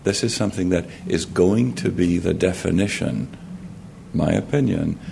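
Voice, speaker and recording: A man with a Jamaican accent giving a formal talk at a conference podium, measured and halting, often clearing his throat and restarting. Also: deep voice, dark tone, slight background noise. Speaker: conference speaker. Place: conference hall.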